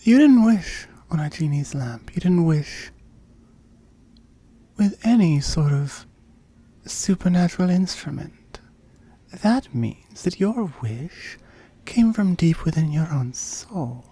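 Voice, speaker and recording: A woman acts out a seductive immortal oni demoness for an intimate ASMR audio drama. Her voice is mellow and breathy, often sinking into whispers. She takes her time, swooping through her lines with smug, coaxing playfulness. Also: deep voice, animated, heavily acted. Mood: intense, persuasive. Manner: slow, coaxing, teasing.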